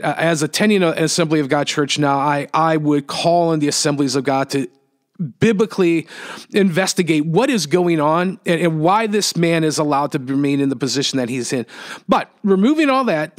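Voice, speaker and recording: A man hosting his own podcast, giving earnest, halting commentary with frequent restarts. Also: on the high side. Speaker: podcast host.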